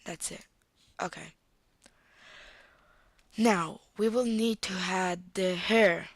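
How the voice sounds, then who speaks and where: A young Italian woman with an Italian accent and a cold, talking through a screen-recorded software tutorial and thinking aloud as she clicks, at a desktop mic. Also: fairly low pitch, slow, gravelly, no room sound, studio quality. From Italy. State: has a cold.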